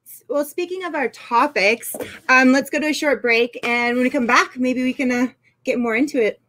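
Higher-voiced woman co-hosting sports talk. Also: normal speed, a bit bright. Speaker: sports talk show co-host. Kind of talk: casual chat and sports report. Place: indoors, on a video call.

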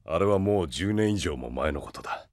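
A man's voice: low-pitched, animated, moderate pace, a little mellow, no room sound, studio quality. A man playing a thoughtful, commanding king in an anime audio drama, telling his story with grave authority. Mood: solemn, reflective.

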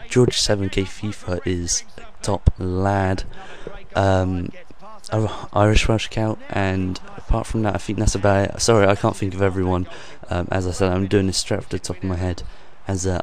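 A young man with a croaky voice hosting his gaming channel, rambling off the cuff with lots of ums and uhs over game footage. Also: sits low, level tone, moderate pace, a little mellow.

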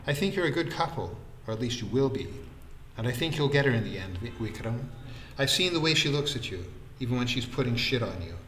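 A man playing a kind, gently encouraging male friend in audiobook dialogue, speaking sincerely. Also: mid-range voice, animated, a little fast, roomy sound, a little hiss or hum, close to an everyday voice, poor audio. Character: kind, reassuring male friend, the story's narrator-hero.

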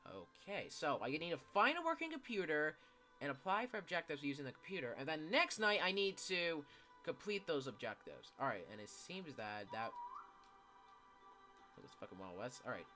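A young man giving casual, excitable commentary while playing a video game, swearing often and yelling when chased. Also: fairly high pitch, moderate pace, over music. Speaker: gameplay commentator. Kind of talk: casual live gameplay commentary.

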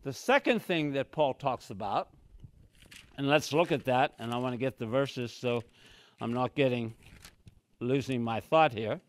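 A man's voice: medium pitch, unhurried, long pauses. An older man giving an expository Bible lesson in a small church room, speaking from a podium mic and often stepping away from it, emphatic and repeating key phrases. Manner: emphatic, repeats "so very clearly", gestures with both hands. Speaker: Bible teacher. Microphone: podium mic, walks away from it.

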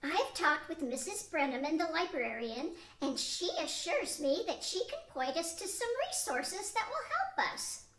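An older woman voices Marge, an earnest and determined old wife in a homespun radio drama. She speaks very high and slowly, reading carefully. Her voice rings in an echoey room. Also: sing-song, fairly bright tone, heavily acted. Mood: resolute. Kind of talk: amateur radio-play acting from a script. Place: small studio with a draped backdrop. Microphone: stand mic.

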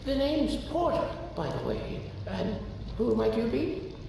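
A woman's voice: wildly swooping pitch, vocal fry, noisy background, full character voice. A woman voicing a whimsical, odd stranger in a video game cutscene, drawling and teasing. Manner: slow, drawling, teasing, sudden pitch swings. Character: odd, whimsical stranger who renames people. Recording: roomy reverberant game audio with ambience.

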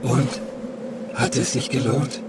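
Man playing reassuring old-timer, mid-pitched, calm, kindly, sci-fi radio play, heavy background noise. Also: slow, lightly acted. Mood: calm, encouraging. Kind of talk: audio drama acting. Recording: loud ambient noise behind.

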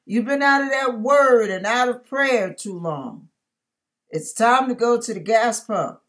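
Slow-talking older woman giving devotional talk. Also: on the high side, a bit bright. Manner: emphatic, conversational, asks rhetorical questions. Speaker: online ministry host. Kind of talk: informal devotional talk to camera. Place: furnished room at home.

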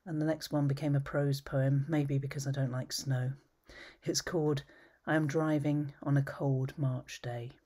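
A woman reading her own poems at home, measured and thoughtful, often trailing into a whisper. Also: rumbling low, level tone, mellow. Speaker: poet. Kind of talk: poetry reading. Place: home study with bookshelves, indoors.

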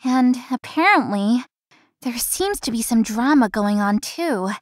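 A young florist in a visual novel game, high in pitch and very close and dry. She is anxious and chatty, dramatizing her thoughts, polite yet a little cheeky. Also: lively intonation, a little slow, no echo. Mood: wondering. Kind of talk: visual novel voice acting, inner monologue.

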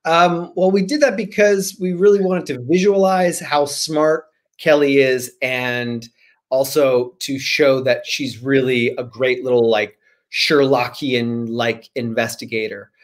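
A man's voice: medium pitch, unhurried. A man in his forties with an American accent, chatting casually and playfully as a guest on a video call, rambling as he tells stories. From Rhode Island, United States. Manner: animated, gesturing, playful, rambling. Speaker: guest author and screenwriter. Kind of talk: casual storytelling in an online interview. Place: small home room, indoors. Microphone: computer mic on a video call.

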